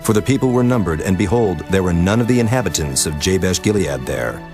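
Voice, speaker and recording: A man narrating a dramatized scripture reading in a solemn, measured way, with music behind. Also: low voice, animated. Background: background music.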